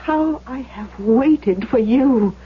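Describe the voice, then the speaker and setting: Casting: flirtatious female star for radio melodrama, high-pitched, languid, tinny phone-like vintage sound. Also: slow, husky, heavily acted. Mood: yearning, seductive. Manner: languid, seductive. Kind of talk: 1970s radio drama acting. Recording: thin, phone-like old radio recording.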